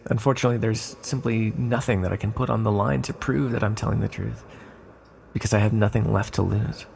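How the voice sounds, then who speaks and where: Gravelly, courteous ancient villain, played by a man. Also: on the low side. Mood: relieved, earnest. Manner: hesitant, halting, gently persuasive. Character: ancient sealed male villain, softly pleading, courteous, secretive. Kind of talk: ASMR roleplay monologue.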